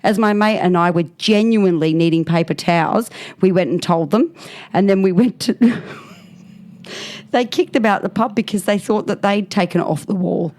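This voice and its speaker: A woman's voice: mid-range voice, normal speed, darkish tone. A woman with an Australian accent co-hosting a podcast, reading out listener stories in casual comic chat and mockingly acting out the quotes. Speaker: podcast co-host.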